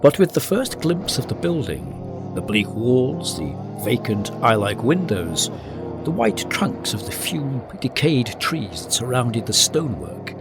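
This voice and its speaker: A brooding male narrator with a very animated, rising and falling delivery over prominent music. He tells a gothic tale in ominous literary phrasing, as in a radio drama. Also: mid-range voice, unhurried. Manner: ominous, literary, hesitant for effect.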